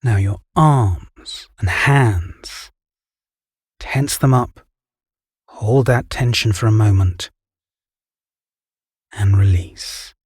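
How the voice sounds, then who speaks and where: A man guiding a relaxation meditation, giving calm, soothing, measured instructions. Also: on the low side, slightly rough, no room sound, studio quality. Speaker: meditation guide. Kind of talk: guided relaxation meditation, scripted narration.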